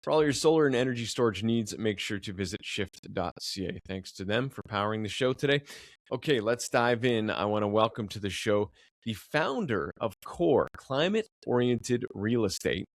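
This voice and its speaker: A man's voice: lowish voice, expressive, moderate pace, dry recording, studio quality. A man hosting a podcast, upbeat and enthusiastic, often repeating words as he goes. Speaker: podcast host. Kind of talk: podcast interview.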